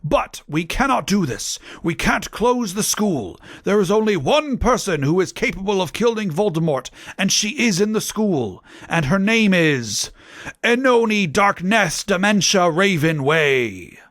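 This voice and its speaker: A man voicing an angry, grave old wizard headmaster in a slow, theatrical storybook manner. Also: very high-pitched, sing-song, creaky, fairly bright tone, dry recording, studio quality, voice pushed up, heavily acted. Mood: grave anger.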